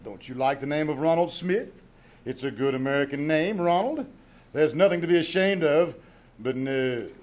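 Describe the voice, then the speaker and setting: A man's voice: on the high side, natural voice. A man acting a pompous, melodramatic radio-star husband in an old-time radio comedy style. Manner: melodramatic, grandiose.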